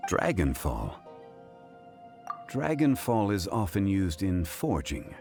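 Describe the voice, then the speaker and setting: An actor playing a calm, learned gentleman in a video game, speaking formally and with composure. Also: low voice, takes it slowly, over music, exaggerated voice. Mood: composed. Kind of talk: video game character acting.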